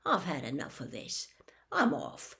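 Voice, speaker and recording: A woman's voice: a bass voice, wildly swooping pitch, measured pace, gravelly, bright, forceful tone, whispered, voice pushed down, exaggerated voice. A woman voicing a hot-headed, injured teenage boy in an audiobook, indignant, shaky and blurting his words. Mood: shaky defiance.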